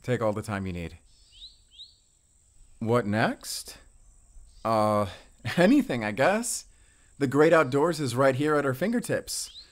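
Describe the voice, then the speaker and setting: A man's voice: medium pitch, sing-song, takes it slowly, long pauses. A man acting as a friendly, chatty young dad. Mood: bashful, tender. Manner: chatty, bashful chuckles. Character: friendly, playful single father on a date. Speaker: audio roleplay actor. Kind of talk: intimate audio roleplay acting, addressing the listener.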